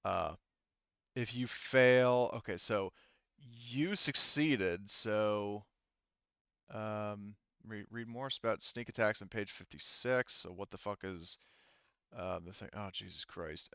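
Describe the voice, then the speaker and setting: A man running a tabletop game as game master, casually improvising narration in a halting, sweary way as he thinks aloud into a headset. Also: lowish voice, gravelly, a bit bright, clear, resonant tone, dry recording, dull audio. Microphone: headset mic.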